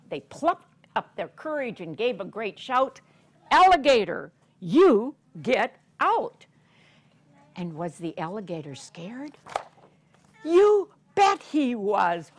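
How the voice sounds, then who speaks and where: An older woman telling a story to young children in a theatrical, deliberate way, drawing out words and putting questions to her listeners. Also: on the high side. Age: 60s or older. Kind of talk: animated read-aloud storytelling to young children. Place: children's story room in a public library.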